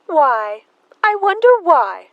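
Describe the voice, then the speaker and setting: High-pitched, slow-speaking, unhinged sister acted by a young woman. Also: very animated, creaky, no room sound, muffled recording, heavily acted. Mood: fervent, eerie. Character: Emma, devout, unhinged axe-murdering sister, adult woman. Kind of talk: high school audio drama acting.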